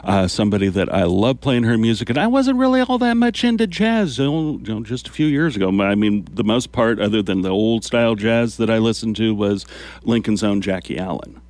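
A man hosting a community radio show from the studio, talking in a relaxed, rambling way with many fillers. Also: lowish voice, brisk, no room sound. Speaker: radio host. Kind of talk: casual community radio chat. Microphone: studio microphone.

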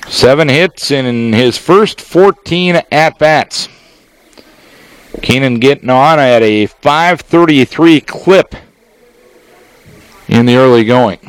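A man with an American accent giving live baseball play-by-play on the radio, in measured, descriptive phrases with pauses between them. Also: medium pitch, smooth, no echo. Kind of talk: live sports play-by-play commentary.

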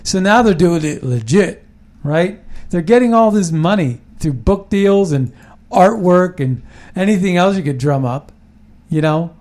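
A man's voice: sits high, expressive, a little slow, a little mellow. A man hosting a casual political talk show, rambling and emphatic, often restarting his sentences.

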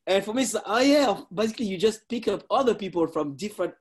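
Man, forties, high voice, animated, casual chat, broken English. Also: quick pace, breathy edge. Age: 40s. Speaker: parkour practitioner. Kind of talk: casual conversation.

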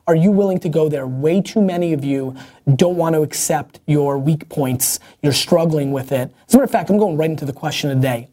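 A man's voice: on the high side, mellow. A man hosting a viewer-question show, talking bluntly and emphatically with restarts and mild cursing. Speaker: host answering viewer questions. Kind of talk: energetic motivational Q&A.

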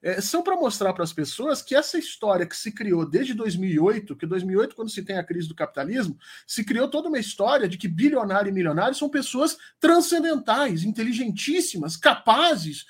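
A man's voice: high voice, very animated, a little fast, few pauses, slightly rough. A man with a Brazilian accent giving emphatic, opinionated political commentary in a conversational way, as a guest on a live show.

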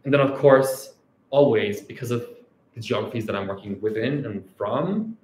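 A man's voice: medium pitch, moderate pace, darkish tone, reverberant, low-quality recording. A man from Canada, an academic researcher giving a reflective scholarly talk who often closes his points with "right?". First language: Urdu.

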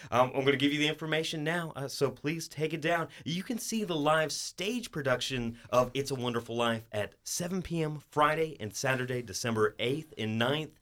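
A man's voice: fairly high pitch, normal speed, hardly any pauses, fairly bright tone. A man hosting a local radio interview show, giving announcements from a studio.

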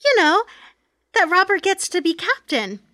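A woman reads a matter-of-fact, teasing sitcom wife in an amateur table read. Her voice is very high and bright, recorded dry and close. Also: very animated, moderate pace, no room sound, exaggerated voice. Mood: pointed.

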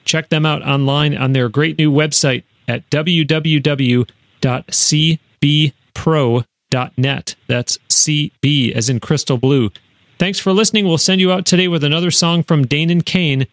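A male podcast host with a slightly high voice delivers a scripted sign-off. His words are deliberate and clearly enunciated, close on a studio microphone with very little room sound. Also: fairly even intonation, no echo. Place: recording studio.

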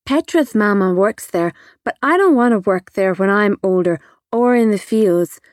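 A woman's voice: mid-range voice, animated, normal speed, darkish tone, no echo, studio quality, natural voice. A woman voicing a chatty, blunt little girl of about five in an audiobook, full of childlike questions. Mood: matter-of-fact. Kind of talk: audiobook character voice.

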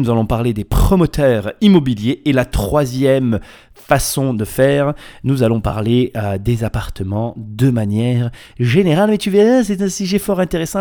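A man with a mid-pitched voice hosts a podcast alone. He talks casually and playfully, with slangy phrasing and emphatic points. He tends to digress and then pull himself back to the subject. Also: darkish tone. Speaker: podcast host. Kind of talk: casual solo podcast monologue.

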